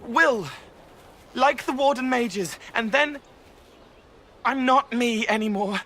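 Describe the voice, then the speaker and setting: An actor playing a young male spirit in human form, talking in halting, broken-up poetic phrases. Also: very high-pitched, pushed and bright, slight background noise, full character voice. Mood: anxious.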